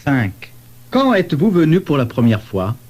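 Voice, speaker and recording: A man playing a polite questioner in a scripted language-lesson dialogue, articulating deliberately. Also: a little high, very animated, darkish tone. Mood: polite, inquiring.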